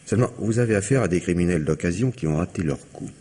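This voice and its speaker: An actor in a 1950s-style French radio drama playing a calm man who insistently coaxes an inspector toward mercy. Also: low voice, quick pace, slight background noise. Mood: persuasive. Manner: insistent, coaxing.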